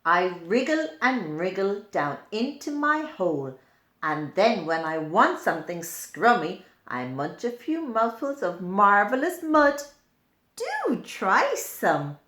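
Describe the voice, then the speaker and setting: A woman's voice: medium pitch, big pitch swings, slow, fairly bright tone, reverberant. A woman voicing cheerful little garden bugs from a picture book in emphatic, sing-song rhyme. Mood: delighted. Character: cheerful little garden minibeasts boasting about themselves. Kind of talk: picture-book character read-aloud.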